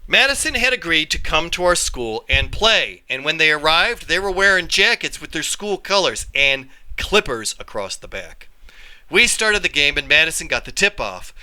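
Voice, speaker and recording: Bright, animated man reading a story aloud. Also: fairly high pitch, moderate pace, low-quality recording. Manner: animated, acts out dialogue. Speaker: reader, storyteller. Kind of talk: expressive read-aloud of a children's story.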